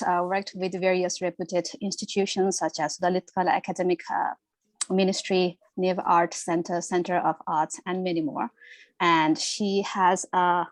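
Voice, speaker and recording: A woman's voice: on the low side, no room sound, rough recording. A young woman from Nepal moderating an online youth talk show, polite and a little hesitant with fillers. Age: young adult. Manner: polite, uses fillers like "you know" and "like". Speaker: moderator and host. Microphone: video call.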